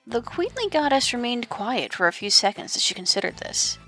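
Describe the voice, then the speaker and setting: A woman's voice: fairly high pitch, very animated, brisk, pushed and bright, faint music behind. A woman giving dramatic scripted narration as the narrator of an audio drama.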